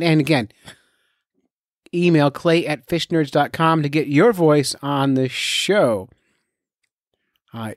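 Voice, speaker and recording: A man's voice: mid-range voice, no room sound. Man hosting a podcast, close and dry. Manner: informal, directly urging listeners. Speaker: podcast host. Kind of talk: casual unscripted podcast talk.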